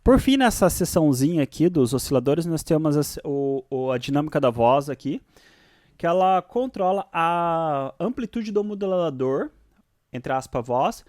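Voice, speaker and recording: A man with a Brazilian accent presenting a software tutorial, switching between read-out explanations and casual asides. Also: fairly high pitch, expressive, moderate pace, dry recording, studio quality.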